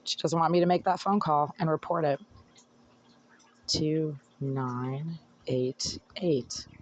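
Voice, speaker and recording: Hoarse, aggrieved tenant; rambling self-recorded rant into a handheld phone, mid-range pitch. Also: lo-fi sound. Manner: aggrieved, rambling, talks while fiddling with phone. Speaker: tenant filing complaints. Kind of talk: agitated self-recorded complaint monologue. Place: apartment indoors. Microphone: phone held by speaker.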